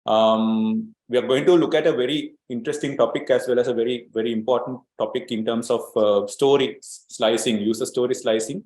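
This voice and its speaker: A man's voice: medium pitch, steady tone, fairly quick, few pauses, darkish tone, echoey room, low-quality recording. A man from Sri Lanka presenting an online training webinar as an agile coach, explaining steadily with many fillers and repeated words.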